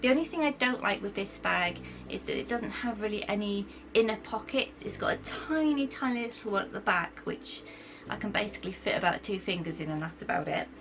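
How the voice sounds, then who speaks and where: A young British woman, croaky from a cold, chatting enthusiastically as she shows off her things to camera. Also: mid-range voice, lively intonation, brisk, low-quality recording. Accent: British English. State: croaky from a cold, sore throat. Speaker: vlogger. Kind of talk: casual chat to camera. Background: background music.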